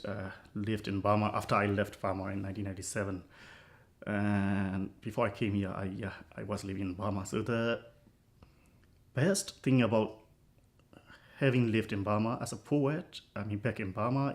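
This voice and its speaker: A low-voiced man, answering interview questions about his life as a poet. He speaks in a halting, reflective way, pausing often and restarting his sentences as he searches for words. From Myanmar. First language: Burmese. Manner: halting, thoughtful, many pauses and restarts.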